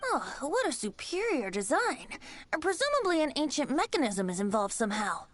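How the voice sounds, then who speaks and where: Witty, high-voiced young scholar. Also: female voice, sing-song, moderate pace, slight background noise. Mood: wry. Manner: articulate, formal wording, teasing. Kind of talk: video game voice acting. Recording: music behind the voice.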